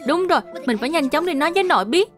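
A woman's voice: sits high, expressive, rapid-fire, faint music behind. A woman voicing a sweet, earnest little boy in a dubbed cartoon, polite and pleading. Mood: delighted.

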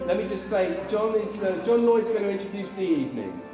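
A man's voice: high-pitched, sing-song, brisk. A man informally giving instructions to a cast from a stage, as their director. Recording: very echoey hall, music behind.

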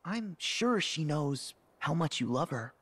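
A man playing a kind, eager teenage boy hero in an animated fantasy game. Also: a little high, a little slow, a little hiss or hum. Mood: gentle, reflective. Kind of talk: animated game cutscene acting. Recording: music behind the voice.

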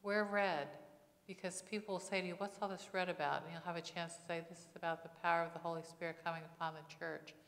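A woman's voice: lowish voice, steady tone, quick pace, a bit bright. A middle-aged woman pastor preaching in a church on a lapel mic, conversational, with frequent rhetorical questions. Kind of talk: sermon. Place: church sanctuary. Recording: long church reverb.

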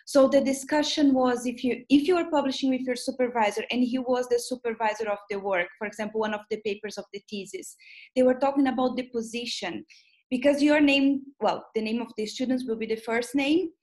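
A woman's voice: fairly high pitch, fairly quick, darkish tone. A woman taking part in a seminar on a video call, asking a question politely and explaining it carefully at length.

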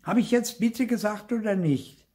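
A man's voice: high voice, big pitch swings, unhurried, dark tone, pitched above the performer's own voice. A man voicing a cheeky, bossy schoolgirl who talks in an insistent, boastful way in a children's story. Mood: defiant.

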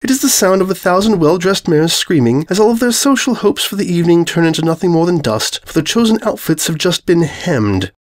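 A man's voice: sits high, sing-song, brisk, few pauses, pitched above the performer's own voice. A man voicing a refined, fussy female unicorn with prim, wordy speech in a comic story. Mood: fussy concern.

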